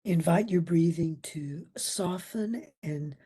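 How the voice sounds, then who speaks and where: Slow, breathy woman guiding meditation. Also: on the low side. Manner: gentle, soothing, with long pauses. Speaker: meditation instructor. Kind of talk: guided meditation.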